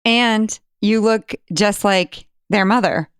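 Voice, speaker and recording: Smooth-voiced woman interviewing a guest. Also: medium pitch, slow pace, no echo, clean studio sound. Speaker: podcast host.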